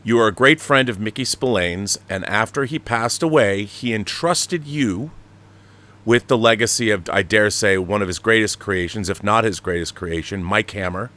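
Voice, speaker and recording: A man's voice: fairly low pitch, normal speed, bright, forceful tone. A man hosting a live talk show, giving emphatic, opinionated commentary with rhetorical questions.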